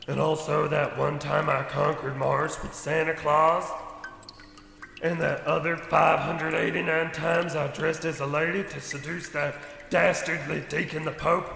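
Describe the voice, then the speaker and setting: Slow, gravelly, bright-toned cartoon beast, aw-shucks manner; echoey hall. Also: male voice, a little high, little pitch movement, full character voice. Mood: folksy, innocent. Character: folksy cartoon bear-cow-ape creature come to life. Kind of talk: comedy radio play acting.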